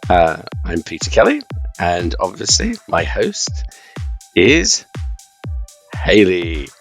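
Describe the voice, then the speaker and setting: A man's voice: low voice, wildly swooping pitch, slow pace, creaky, faint music behind. A man hosting a podcast, joking and rambling in easy banter. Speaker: podcast host. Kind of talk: casual podcast chat.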